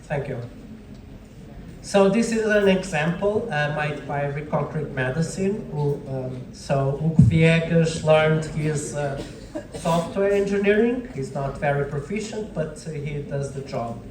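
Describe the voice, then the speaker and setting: A man's voice: fairly high pitch, a little slow, noisy background. A man with a Portuguese accent, a native Portuguese speaker, giving an informal classroom lecture and often checking in with short tag questions. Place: indoor lecture room with audience. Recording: echoey room, distant microphone.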